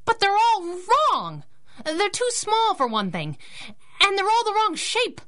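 An actress playing a feisty, wisecracking masked sidekick in a radio adventure, sassy and emphatic. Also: female voice, very high voice, wildly swooping pitch, unhurried, bright, forceful tone, full character voice. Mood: skeptical, eager. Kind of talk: 1930s-style radio adventure acting.

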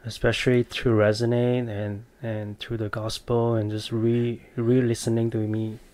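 A man, answering interview questions in a thoughtful, halting, earnest way. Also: mid-range voice, completely flat delivery, darkish tone. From Malaysia. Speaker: podcast guest. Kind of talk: casual interview.

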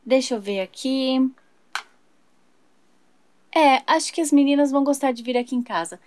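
A woman's voice: high voice, normal speed, a little mellow, no echo. A woman narrating a doll's day in a sweet, chatty way, talking to viewers as she plays. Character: chatty young woman doll, fashion-loving. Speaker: toy channel narrator voicing a doll. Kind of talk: doll play narration.

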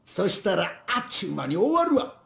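A stern male robber from a video game, barking blunt orders in a Kansai accent. He is quick, raised in pitch and swoops around, with echo on the voice. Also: sing-song, creaky, a bit bright, heavily acted. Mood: stern, commanding. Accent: Kansai Japanese. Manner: blunt, commanding. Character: stern, gruff male Japanese bank robber. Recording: echoing room sound.

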